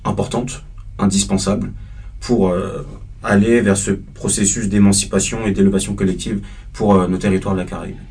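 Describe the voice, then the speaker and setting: A man's voice: fairly low pitch, level tone, normal speed, a little mellow, breathy edge, recorded from a distance. A young man from the Paris suburbs, a history master's student, introducing himself hesitantly on a panel with frequent fillers. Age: young adult. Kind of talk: casual panel discussion.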